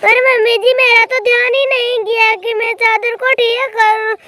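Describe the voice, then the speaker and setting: A woman's voice: extremely high pitch, level tone, normal speed, sharp tone, dry recording. A woman voicing a lazy, pampered young female bird in a cartoon story, whiny and dismissive. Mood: pleading. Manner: whiny, brushing off chores. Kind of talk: Hindi cartoon story dialogue.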